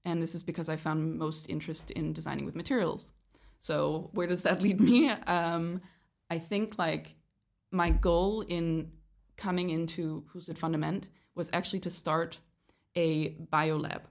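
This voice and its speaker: A young woman raised in Canada, chatting as a podcast guest about her work, often pausing with ums and restarting sentences. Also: low voice, little pitch movement, gravelly. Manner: hesitant, many ums and restarts. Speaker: guest, project leader. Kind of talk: casual interview chat.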